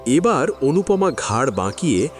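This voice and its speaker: A man narrating a classic story in an audio drama, with expressive, dramatic storytelling. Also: medium pitch, measured pace, faint music behind.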